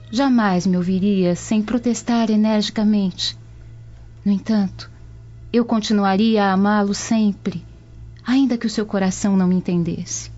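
A woman acts a serene young Roman believer with a Brazilian accent. She speaks formally and earnestly in a warm, mellow tone. It is a slightly dull radio drama recording with music behind. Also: medium pitch, lightly acted. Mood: sad, earnest.

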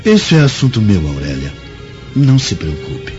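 An actor playing a proud, jealous Roman officer, haughty and declamatory in melodramatic radio drama style. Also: male voice, lowish voice, wildly swooping pitch, moderate pace, mellow. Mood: curt, confident. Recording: dull echoey radio drama, music behind.

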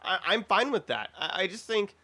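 A man with a slightly high, very bright and forward voice co-hosts a casual podcast panel. He argues his opinions freely and drops swear words as he goes. The delivery is conversational and unscripted. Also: sing-song, normal speed, slightly rough. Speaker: podcast co-host.

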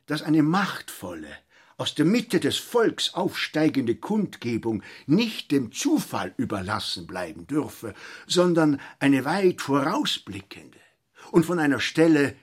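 A pompous aristocratic statesman in a satirical radio drama. His husky voice rises and falls widely through ceremonious, long-winded formal oratory. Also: on the high side, sing-song, slow, full character voice. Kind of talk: literary radio drama acting.

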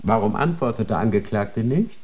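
A man's voice: fairly low pitch, expressive, a little fast, a little mellow, lightly acted. A man playing a stern, formal inquisitor. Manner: formal, cold, interrogating. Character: stern male inquisitor of a holy tribunal. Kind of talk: radio drama acting.

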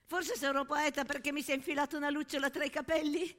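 A woman's voice: high-pitched, level tone, fast, in a large hall. A woman playing an impassioned, haunted young male soldier and poet in a literary stage monologue. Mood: wry, tender. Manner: intense, literary, swinging from outcry to hush.

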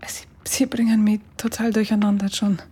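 Breathy, husky, shaken woman. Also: mid-range voice, flat delivery, normal speed, warm tone. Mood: disbelieving, confused. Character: shaken woman listening to a veteran's war story. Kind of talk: radio drama acting.

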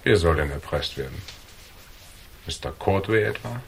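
An actor playing a cool, defiant hardboiled investigator in a German radio thriller, dry, laconic and taunting. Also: male voice, low-pitched, expressive, normal speed, gravelly, a little hiss or hum. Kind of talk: German radio crime drama acting.

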